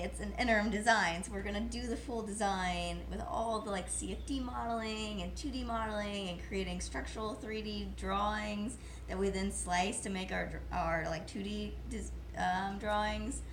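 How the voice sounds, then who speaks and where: A woman's voice: mid-range voice, reverberant, background noise. A woman vlogger who is losing her voice, talking in a casual, rambling way full of fillers while outdoors. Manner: chatty, rambling, lots of fillers. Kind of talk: casual chat. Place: outdoors in wind.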